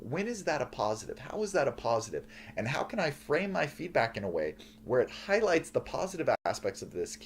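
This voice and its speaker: A male professor with a loud, booming voice, giving an earnest lecture on feedback with lots of "uh" and "um" fillers. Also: slight background noise. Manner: earnest, lively, frequent "uh" and "um" fillers.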